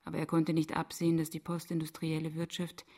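Sardonic woman scholar, creaky voice. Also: sits low, completely flat delivery, fairly quick, a little mellow. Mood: cool, mocking. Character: defiant, sardonic woman scholar, coolly provocative. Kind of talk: radio play acting.

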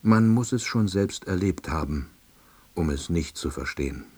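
A man playing a subdued, baffled middle-aged East German salesman, narrating a radio play in a dry, husky voice. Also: low voice, animated, moderate pace, darkish tone. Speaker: narrator and lead character.

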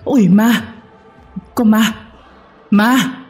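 A man's voice: lowish voice, expressive, normal speed, a little mellow, soft background music, lightly acted. A man voicing an anxious, caring older village husband in a horror audio story. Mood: terrified screaming.

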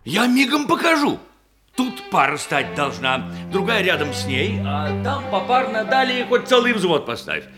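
An actor playing a boastful, jolly hussar nephew in a theatrical verse radio comedy, hearty and blustering, full of exclamations. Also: male voice, sits high, sing-song, measured pace, over music. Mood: excited bustle.

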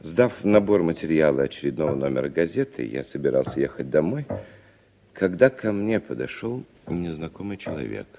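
An actor playing a principled, hot-headed young American writer in an earnest, emphatic Soviet radio drama style. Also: male voice, extremely low pitch, animated, moderate pace, creaky, heavily acted. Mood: sombre narrating. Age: young adult. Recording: reverberant old radio recording with noise.

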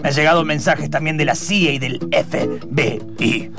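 Bossy, exasperated radio-play police chief acted by a man: Argentine, slightly high gravelly voice, pompous scolding. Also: normal speed, music in the background. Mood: impatient. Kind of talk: improvised comedic radio theatre.

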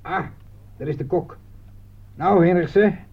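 An actor playing a gruff but steady, paternal army sergeant in a radio play, brisk and down-to-earth. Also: male voice, high-pitched, exaggerated voice. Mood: gruff, matter-of-fact. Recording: thin phone-like old radio recording.

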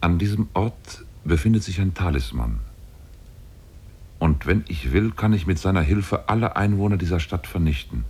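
A very deep, husky demon. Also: male voice, lively intonation, normal speed, darkish tone. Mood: boastful, solemn. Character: powerful male demon, lovestruck, brooding and possessive. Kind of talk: 1950s German radio play acting.

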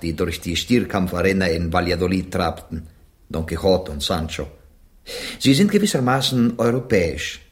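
A Spanish gentleman of a bygone age, passionate and philosophical. His lowish voice swings widely as he declaims in theatrical radio-play style, dropping in Spanish exclamations. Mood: insistent. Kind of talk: theatrical German radio drama acting.

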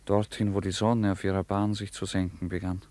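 A man narrating a literary radio play in a measured, formal way. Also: deep voice, flat delivery, a little mellow, lightly acted.

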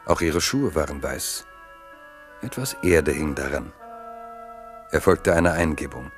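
A man narrating a historical radio drama in a measured, evocative storytelling manner. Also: rumbling low, soft background music.

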